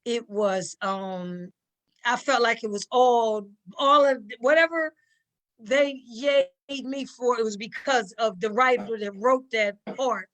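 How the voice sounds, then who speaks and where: A woman with a bright, forceful voice on the higher side chats as a guest on a panel. She is modest and deferential, circling back over her words for emphasis. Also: takes it slowly, low-quality recording. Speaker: stage play cast member, guest. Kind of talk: casual panel conversation.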